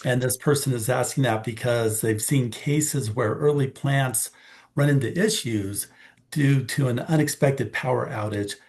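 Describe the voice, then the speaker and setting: A man moderating an investor Q&A, reading out questions in a measured, businesslike way. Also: mid-range voice, darkish tone.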